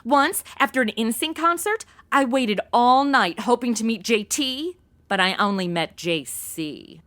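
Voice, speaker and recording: A woman's voice: fairly high pitch, lively intonation, measured pace, fairly bright tone. An actress playing a brash, ditzy modern young woman in a comedic audio drama, talking in blunt, slangy valley-girl phrases. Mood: sassy, clueless.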